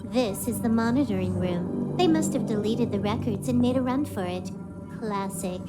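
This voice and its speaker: A woman's voice: mid-range voice, normal speed, natural voice. A woman voice-acting a smug young hacker in a video game, matter-of-fact, nonchalant and sure of herself. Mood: dry, dismissive. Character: smug young female hacker, brisk, cool and self-assured. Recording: music clearly behind the voice. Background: game soundtrack music.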